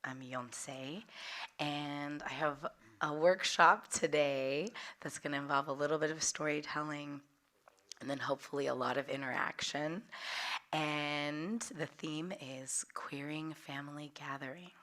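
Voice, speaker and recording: A young woman telling stories to a workshop group. Her voice sits a bit low but sounds bright and forceful, with a hoarse, slightly cracky edge from getting over a lost voice. She speaks openly and laughs often. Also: steady tone, measured pace. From Central Valley of California. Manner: warm, open storytelling with frequent laughs. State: hoarse, recovering from losing her voice. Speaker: workshop leader. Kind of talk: personal storytelling talk.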